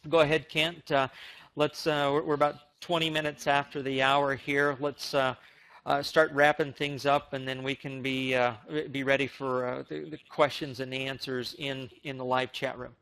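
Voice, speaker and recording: Halting man with a flat, even delivery. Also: mid-range voice, poor audio. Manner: halting, with repeated words and stammered restarts. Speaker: company representative hosting a conference call. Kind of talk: informal business update to members.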